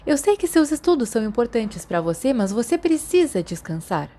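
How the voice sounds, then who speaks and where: A woman voicing a kindly school matron, motherly and gently scolding, in a story. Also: on the high side, big pitch swings, fairly quick, voice pushed up. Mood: fussing. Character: kindly, fussing school matron, a witch healer.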